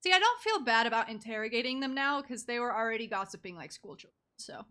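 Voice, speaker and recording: A woman's voice: on the high side, expressive, fast talker, sharp tone. A young woman giving casual, rambling live game commentary with giggly asides as a streamer.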